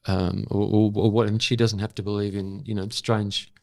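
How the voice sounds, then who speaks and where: An older man speaking as a podcast guest, in a halting, thoughtful and polite conversational way. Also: fairly low pitch, little pitch movement, creaky, darkish tone, dry recording, studio quality. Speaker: podcast guest, former journalist and actor. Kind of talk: casual conversational interview.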